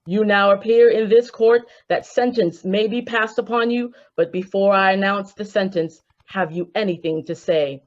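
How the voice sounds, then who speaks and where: A woman acts as a stern judge in a radio play, her medium-pitched voice formal and solemn. She speaks with measured authority, and the sound is a little dull. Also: fairly even intonation, close to an everyday voice. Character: stern, formal court judge passing sentence. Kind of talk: old-time radio drama acting.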